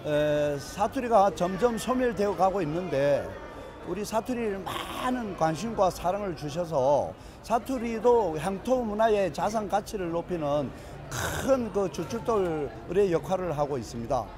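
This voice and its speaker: A man's voice: sits high, lively intonation, unhurried. Man in his sixties, formal festival interview. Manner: formal, with hesitant "eh" fillers. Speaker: head of a dialect preservation society. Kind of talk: interview comment. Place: outdoors under a festival tent. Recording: outdoor crowd behind.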